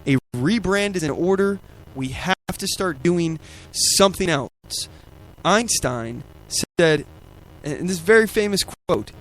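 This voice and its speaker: A man's voice: a little high, poor audio. A man hosting a political talk show, giving ad-libbed commentary with emphatic rhetorical questions.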